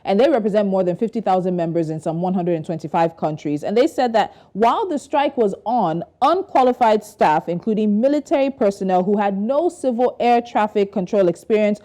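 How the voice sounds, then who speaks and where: A woman's voice: mid-range voice, animated, brisk, few pauses, dark tone. A woman working as a television news presenter, delivering introductions and putting interview questions to a guest.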